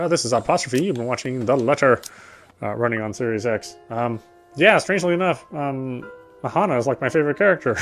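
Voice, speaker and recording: A man's voice: mid-range voice, fairly bright tone. A man streaming a visual novel, reading its story aloud and commenting casually. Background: faint game music.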